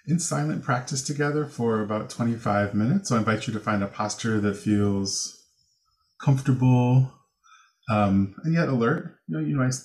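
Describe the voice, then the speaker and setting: An American man with a fairly low voice teaches a guided meditation. He gives gentle instructions without hurry, leaving pauses between phrases. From Chicago, United States.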